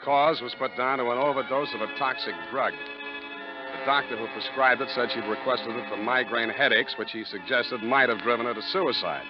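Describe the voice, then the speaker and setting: A man's voice: medium pitch, moderate pace, natural voice. A dull old 1940s radio recording with music behind it. A hard-boiled private detective played by a male actor talks in clipped, sardonic lines. He is tough and wry, both telling his story and grilling suspects. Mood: wry, accusing.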